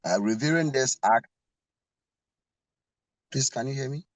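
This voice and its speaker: A man's voice: mid-range voice, measured pace, no echo. A man giving an earnest prepared talk over a video call, with fillers and repeated words. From Ghana. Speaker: panel speaker.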